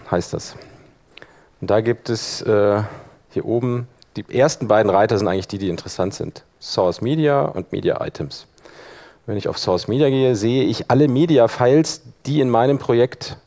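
A man teaching a live software workshop, explaining step by step in an informal spoken style. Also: lowish voice. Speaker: workshop instructor. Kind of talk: live software demonstration to an audience.

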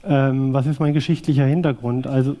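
A man's voice: medium pitch, steady tone, a little fast, warm tone. A German man in his forties, from southwest Thuringia, giving a loose technical talk on a vintage graphics device with many fillers and restarts, then answering audience questions. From southwest Thuringia, East Germany. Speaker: conference speaker presenting a vintage graphics device.

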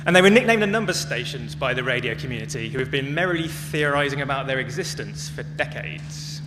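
A man's voice: mid-range voice, lively intonation, brisk. A man speaking as a conference presenter, explaining things in a prepared public talk. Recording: echoey hall with clear hum and noise.

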